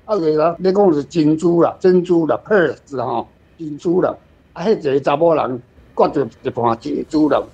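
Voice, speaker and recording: A slightly high-voiced older man with a Taiwanese accent talks casually as a guest author. He wanders through anecdotes and repeats phrases. The recording is a little muffled. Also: moderate pace, creaky, mellow, slightly rough. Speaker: author guest discussing their story. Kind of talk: casual literary discussion.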